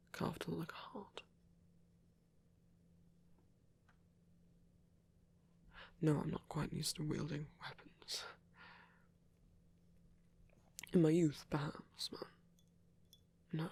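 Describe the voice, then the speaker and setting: A man playing a gentle vampire priest in an intimate ASMR roleplay, soft and breathy, speaking in hesitant, measured, genteel phrases. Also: fairly high pitch, exaggerated voice. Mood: bashful, hesitant. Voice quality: breathy, whispery. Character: gentle, soft-spoken vampire priest, male, pacifist, tender.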